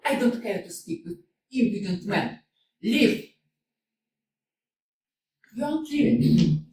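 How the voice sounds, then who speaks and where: Woman playing indignant young widow, scornful, slow delivery, distant mic in a roomy hall. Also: on the high side, animated, dark tone. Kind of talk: staged reading of a stage play. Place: small community theater. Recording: echoey room, far from mic.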